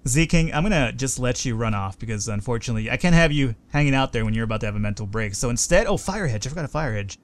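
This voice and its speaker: A man's voice: medium pitch, lively intonation, quick pace, few pauses. Chatty man narrating his strategy game. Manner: chatty, swears when frustrated. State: slightly hoarse. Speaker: let's play host. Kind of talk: casual game commentary.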